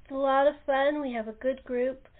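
A woman's voice: high-pitched, steady tone, moderate pace. A woman hosting a podcast in a casual, chatty style over a thin phone-like line. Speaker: podcast host. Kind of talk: casual chat. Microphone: remote call.

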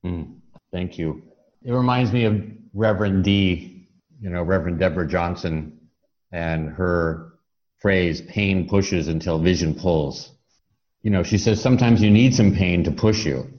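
A man speaking as a talk show host, reflective and conversational, explaining ideas in a measured way. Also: deep voice, a little mellow, muffled recording.

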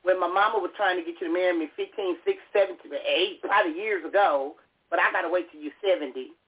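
A woman's voice: fairly low pitch, fast talker, husky. A woman in her fifties hosting a talk show, chatting casually with a teasing, laughing manner. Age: 50s. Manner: teasing, laughing, animated storytelling. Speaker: radio show host. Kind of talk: casual chat. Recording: tinny phone-line sound.

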